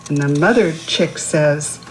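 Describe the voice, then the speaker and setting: A woman's voice: sits low, takes it slowly, slight background noise, rough recording. A woman reading a picture book aloud to young children, instructive, posing questions to the listener and explaining words. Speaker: children's read-aloud host.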